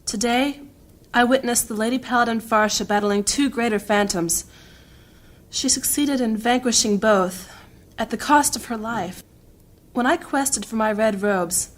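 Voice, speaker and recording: A woman playing a guarded, grave noble mage in a fantasy audio drama, speaking formally and deliberately. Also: a little high, lightly acted.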